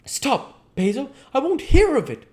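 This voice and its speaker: A man's voice: very high-pitched, wildly swooping pitch, measured pace, darkish tone, pitched above the performer's own voice, full character voice. A man voicing a vain, callous young aristocrat, haughty and flippant, from a classic novel. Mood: indignant.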